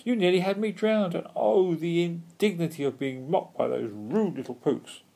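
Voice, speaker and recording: A man plays a hot-tempered old woman, a storybook witch. The voice is pushed high, shrieking and scolding with indignation. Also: moderate pace, vocal fry, dark tone. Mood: furious.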